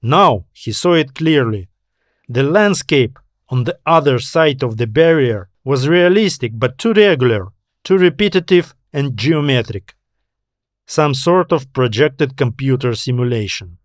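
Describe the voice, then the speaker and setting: A man narrates a scripted audiobook story at a slow, measured pace. His voice sits at a middle pitch and sounds close and dry, free of room echo. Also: expressive. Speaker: author and narrator.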